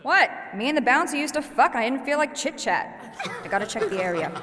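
A woman's voice: sits high, animated, a little fast, sharp tone, big-room sound, heavily acted. A woman playing a brusque, foul-mouthed female thief in an audio drama, speaking bluntly and urgently. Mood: irritated, brash.

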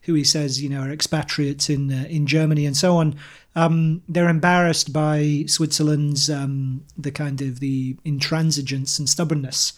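A man co-hosting a podcast, discussing politics in a measured, hesitant way with many pauses. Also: fairly high pitch, little pitch movement. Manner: measured, hesitant, lots of ums. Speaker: podcast co-host.

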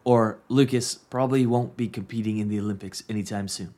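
Earnest man in his thirties, lowish voice. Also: steady tone, fairly quick, mellow. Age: 30s. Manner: earnest, repeats phrases for emphasis. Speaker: podcast host and business coach. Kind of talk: casual reflective monologue.

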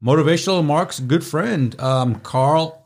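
A man's voice: a little high, a little slow. A man hosting a podcast in casual chat, rambling with lots of fillers. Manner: chatty, rambling with many fillers. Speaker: podcast host.

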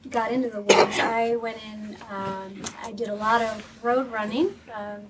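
A woman calmly telling how she came to love running in the woods. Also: fairly high pitch, measured pace, recorded from a distance, a little hiss or hum. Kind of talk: casual personal storytelling.

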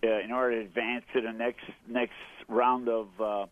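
A male caller comes through a tinny phone line. He talks at a medium pitch and pauses often as he explains his situation. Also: level tone, no echo. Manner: halting, hesitant. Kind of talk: call-in question.

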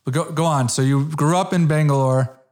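A man's voice: on the high side, steady tone, a little fast, darkish tone. A man in his fifties hosting an interview, talking conversationally with restarts and frequent "you know" and "right?". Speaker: interview host. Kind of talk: casual interview.

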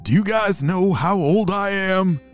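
A man voicing a 137-year-old grandpa tortoise, wise and protective, who speaks slowly and gently. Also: high-pitched, faint music behind, voice pushed up. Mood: gentle.

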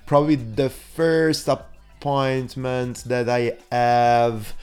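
Italian guy with a cold, scratchy throat, rambling podcast intro, lots of uhs, mid pitch. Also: level tone, extremely slow, a little mellow, clear, resonant tone. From southern Italy. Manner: chatty, rambling, many fillers and restarts. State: hoarse from a cold. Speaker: podcast host. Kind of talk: casual solo podcast intro.

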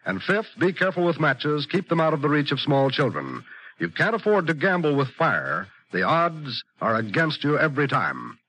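A man's voice: a little high, sing-song, normal speed, background noise. A man with an American accent announcing in an emphatic, formal 1950s radio style. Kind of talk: 1950s radio announcing, scripted public service message.

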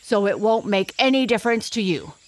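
A woman voicing a vain, hot-tempered young male lion who yells and blusters. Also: mid-range voice, expressive, a little fast, voice pushed up, lightly acted. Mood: fretful. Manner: yelling, blustering.

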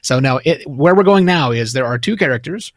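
A man's voice: mid-range voice, lively intonation, a little fast, no room sound, studio quality. A man hosting a podcast interview, giving conversational commentary and asking technical questions. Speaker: podcast host.

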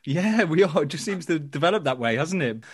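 A man's voice: on the high side, animated, brisk, husky, no echo, studio quality. A man with a Geordie accent co-hosting a podcast in casual, jokey banter. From Newcastle, England. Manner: jokey, self-mocking banter. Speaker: podcast co-host.

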